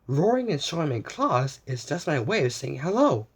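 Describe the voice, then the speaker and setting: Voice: mid-range voice, big pitch swings, normal speed, slightly rough. A storyteller voicing a friendly, playful dragon in a children's storybook reading. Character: friendly toothy dragon who roars hello.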